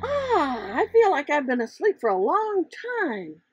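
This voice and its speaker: Woman acting as female storybook butterfly, newly hatched, wondering, exclamatory, lifted pitch, very lively swoops. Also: unhurried, lo-fi sound, soft background music. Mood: groggy wonder. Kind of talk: children's storybook character voice.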